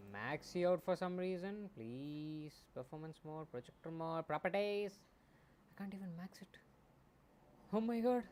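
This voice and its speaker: A man streaming as a game developer, thinking aloud while he codes, often muttering and trailing off into soft asides, on a quiet home mic. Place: home room. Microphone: desktop mic. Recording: faint background noise, low home mic.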